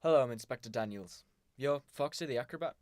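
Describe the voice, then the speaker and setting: A brusque police inspector, played by a man, questioning people in a period radio drama. His voice is mid-pitched and businesslike, with a suspicious edge. It is recorded very dry. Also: fast, darkish tone, no room sound, natural voice. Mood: probing. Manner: curt, businesslike, probing. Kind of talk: amateur 1920s-set radio drama acting.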